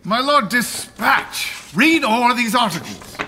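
A stern nobleman in a Shakespearean radio drama, sharp and forceful, with a very animated delivery. He is impatient, formal and commanding as an accuser, over a faint background noise. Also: very high-pitched, takes it slowly, full character voice. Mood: impatient, demanding. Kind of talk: Shakespearean verse radio play acting.

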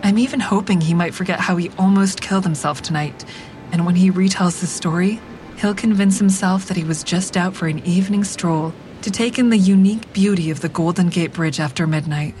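A woman's voice: darkish tone, close to an everyday voice. Woman narrating as a guarded, grieving heroine. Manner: measured, introspective narration. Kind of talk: first-person audio drama narration. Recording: constant vehicle rumble behind.

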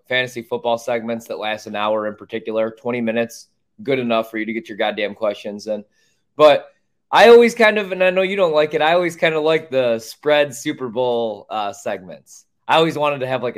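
A man's voice: mid-range voice, fairly bright tone. A man co-hosting a casual sports podcast, talking in a blunt, opinionated way and swearing freely.

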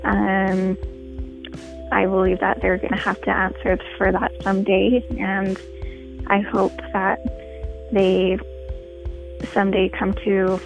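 A woman's voice: medium pitch, monotone, slow, creaky, pauses often, music in the background, low-quality recording. A woman in her twenties leaving a personal recorded message as a listener, speaking casually and hesitantly. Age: 20s.

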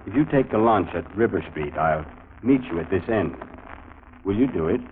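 An actor playing a grim, cryptic cemetery watchman in a 1940s radio mystery, speaking in a measured, ominous calm. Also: sits low, phone-quality sound. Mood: calm, insistent. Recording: old 1940s radio broadcast, thin, faint noise.

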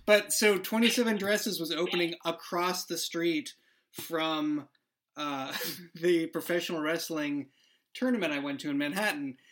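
A man with a somewhat high voice spins a comic story with lively timing. He keeps it loose and joking, like a friend at the table. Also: unhurried. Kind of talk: casual interview chat.

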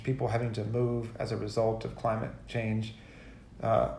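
A man giving a reflective, earnest interview answer as a guest, halting and repeating words as he goes. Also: mid-range voice, gravelly, darkish tone. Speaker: guest poet and editor. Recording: roomy, echoey sound.

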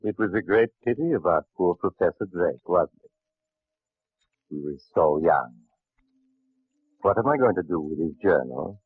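An actor playing a genteel, sinister mad doctor who addresses the listener with mock politeness in old radio horror style. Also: male voice, low voice, lively intonation, husky, dry recording, soft background music. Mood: sly, inviting. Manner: mock-polite, macabre, unhurried storyteller. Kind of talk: 1940s radio horror monologue. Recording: muffled, tinny old radio recording.

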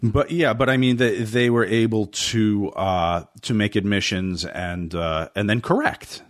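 A man co-hosting a podcast who talks in a measured, hesitant way with many fillers. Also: lowish voice, no echo, studio quality. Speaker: podcast co-host. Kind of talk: casual chat.

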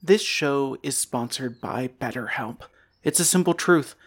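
A man's voice: medium pitch, unhurried. A man delivering a scripted sponsor message as an ad announcer.

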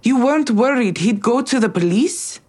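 A woman playing a wary, accusing conspirator in an audio drama, with a husky voice and a terse, probing manner. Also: a little high, expressive, moderate pace, darkish tone. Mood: wary, surprised. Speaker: actor in a fiction podcast. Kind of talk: naturalistic audio drama acting.

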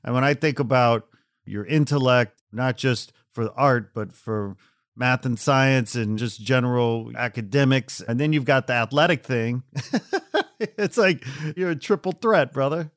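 A man's voice: medium pitch, unhurried, fairly bright tone, dry recording. Host, man, friendly and admiring, laughs easily, dry close sound. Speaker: interviewer. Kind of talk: casual interview.

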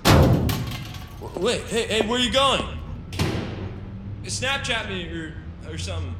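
A man's voice: sits high, lively intonation, slow pace, over loud music. An actor playing a flirty, chatty, oblivious man in an audio drama, with a casual, rambling delivery. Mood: flustered. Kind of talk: naturalistic audio drama acting.

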